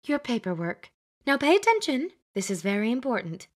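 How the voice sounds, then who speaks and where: A woman voicing a fussy, chattering older witch who plays matchmaker, bossy and brisk in an audiobook. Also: on the high side, very animated, pitched above the performer's own voice. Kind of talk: audiobook character voice.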